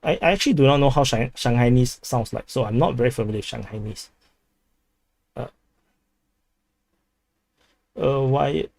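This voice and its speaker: A man's voice: medium pitch, normal speed, vocal fry, long pauses, a little mellow. A man from Singapore with a Singaporean English accent, hosting a casual livestream, rambling with many restarts while reading chat aloud. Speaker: livestream host.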